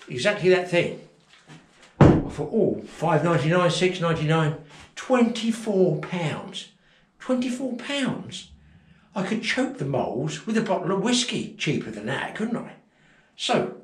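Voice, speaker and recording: Older male vlog host, playful and chatty, slightly high voice, recorded off-mic in an echoey cabin. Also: distant mic. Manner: jokey and animated, chuckling asides. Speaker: fishing channel host. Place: garden cabin indoors, partly outdoors in the garden.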